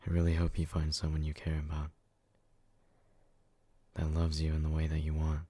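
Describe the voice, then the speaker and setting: A man's voice: extremely low pitch, completely flat delivery, normal speed, warm tone, no echo. A man playing a devoted, brooding, hot-headed but tender yandere in an ASMR roleplay, speaking with earnest intensity. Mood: resigned tenderness.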